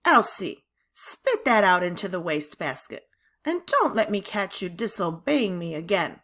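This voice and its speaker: A woman voicing a stern female fifth-grade teacher in a storybook, sharp and commanding. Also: on the low side, sing-song, measured pace, exaggerated voice. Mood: stern, scolding.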